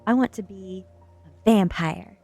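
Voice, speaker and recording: A woman's voice: mid-range voice, lively intonation, moderate pace, creaky. A woman reading the part of a stubborn, defiant five-year-old girl in a storybook, blunt and a little odd. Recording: music behind the voice.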